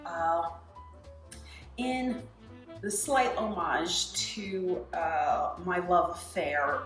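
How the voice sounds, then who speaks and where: A woman's voice: on the low side, extremely slow, fairly bright tone, roomy sound, soft background music. A woman hosting her own home cooking show, chatting casually through a recipe with filler words and asides.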